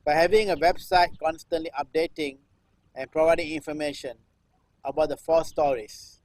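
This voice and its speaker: A man recites a political text as performance art, in deliberate phrases with repeated, chant-like refrains. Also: a little high, fairly even intonation, a little mellow, dry recording, low-quality recording. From Singapore. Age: 60s. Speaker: performance artist.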